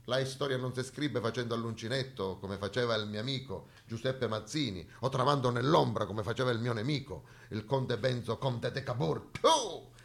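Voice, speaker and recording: A man's voice: mid-range voice, normal speed, gravelly, few pauses. Grumbling, grandiose old general, played by a male stage actor, thick Genoese accent with a Spanish tinge, theatre monologue. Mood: bitter, grandiose. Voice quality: thick foreign-tinged Genoese-style speech.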